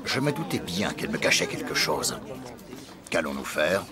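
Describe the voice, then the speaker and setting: An actor playing a haughty, suspicious, scheming baron in a French cartoon, with a formal manner of speaking. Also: male voice, rumbling low, big pitch swings, normal speed, a loud crowd. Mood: stern, uneasy.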